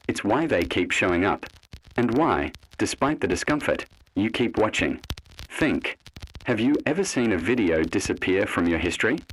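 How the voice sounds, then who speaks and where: Guy doing creepy horror narration, deepish voice, talks right at you, ominous, some noise behind it. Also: rough recording. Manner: ominous, addressing the listener directly.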